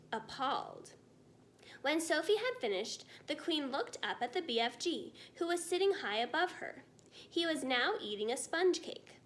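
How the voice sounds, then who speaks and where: A woman reading a children's story aloud as an expressive narrator. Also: sits high, slight background noise.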